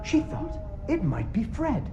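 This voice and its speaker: A woman's voice: fairly high pitch, wildly swooping pitch, unhurried, highs cut off. An actress playing a patient mother in a video game, telling a fairy tale to a child with dry humour. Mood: storytelling. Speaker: storyteller narrator in a video game. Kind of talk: storybook narration for a child. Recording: music behind.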